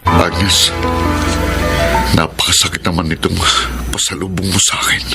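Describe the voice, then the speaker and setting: An actor playing a hurt, brooding husband torn by doubt in a classic radio drama. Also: male voice, low-pitched, little pitch movement, normal speed, over loud music.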